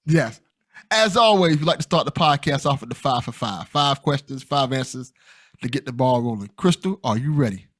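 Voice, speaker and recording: Male podcast host, lively swooping intonation, dry close sound, casual, theatrical question delivery, quick to laugh. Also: mid-range voice, no echo.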